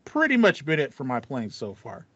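A man's voice: medium pitch, wildly swooping pitch, moderate pace, fairly bright tone, husky, no echo. American man, dry and slightly dull sound. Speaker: podcast co-host. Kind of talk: casual gaming chat. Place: small home room with acoustic foam.

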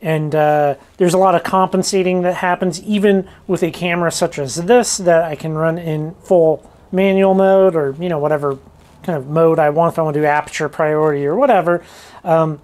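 Woman, slightly low pitch, informal vlogger, casual hands-on demonstration, thinking aloud. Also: fairly even intonation, moderate pace, darkish tone. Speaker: rockhounding vlogger.

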